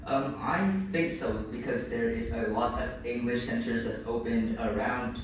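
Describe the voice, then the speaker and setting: A man's voice: mid-range voice, fairly even intonation, a little slow. A boy in his early teens from Vietnam, a native Vietnamese speaker with a Vietnamese accent, answering a speaking test hesitantly with many restarts. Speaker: student taking a speaking test. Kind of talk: answering exam questions. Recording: echoey room, distant mic, background noise.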